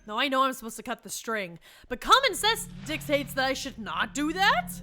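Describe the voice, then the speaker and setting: A woman's voice: sits high, very animated, moderate pace, bright, forceful tone, a little hiss or hum. A young woman, a little stuffy from allergies, chatting sarcastically to her viewers and the game while streaming. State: sniffly from allergies. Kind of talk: casual let's-play commentary.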